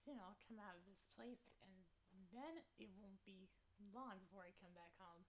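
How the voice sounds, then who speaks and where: A woman's voice: mid-range voice, normal speed, a little hiss or hum, natural voice, rough recording. A woman voicing an anxious, self-mocking lost young male cat in a visual novel, narrating dramatically and fretfully. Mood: uneasy.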